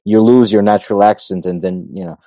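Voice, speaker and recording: A man from North Macedonia, a non-native English speaker with an American-sounding accent, explaining things in a measured way as a guest on a talk show. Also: on the low side, warm tone, dry recording. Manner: measured, explanatory, thinks aloud. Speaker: guest, politician. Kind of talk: casual interview talk.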